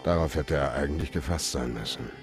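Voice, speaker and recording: An actor playing a cynical, hard-boiled private detective in a German radio crime drama, speaking bluntly. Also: male voice, very deep voice, fairly quick, over music. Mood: terse, matter-of-fact.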